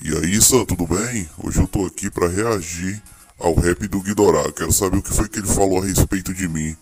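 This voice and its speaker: A man voicing a proud, boastful monster king who taunts his rival in a fan-made animated reaction video. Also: sits low, normal speed, no echo, faint music behind. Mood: casual. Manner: taunting, indignant, addresses rival directly.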